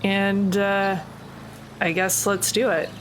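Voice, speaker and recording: A woman's voice: medium pitch, little pitch movement, slow, slight background noise. A woman playing a tabletop game, chatting casually and tossing in playful quips.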